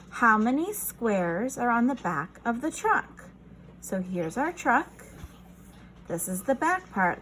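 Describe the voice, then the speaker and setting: Female children's read-aloud teacher: unhurried, lively pitch swings, encouraging and instructional, slight noise behind. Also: poor audio. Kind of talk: reading a picture book aloud to young children.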